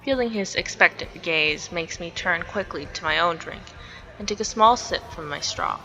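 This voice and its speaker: Bright-voiced woman reading a visual novel. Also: fairly low pitch, moderate pace, faint music behind, rough recording. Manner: expressive, theatrical reading. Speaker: let's play streamer. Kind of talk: reading a visual novel aloud with casual reactions.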